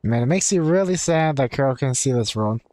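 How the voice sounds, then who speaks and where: A man's voice: mid-range voice, expressive, a little fast, warm tone. A man giving casual, slangy, easygoing live commentary as a game streamer.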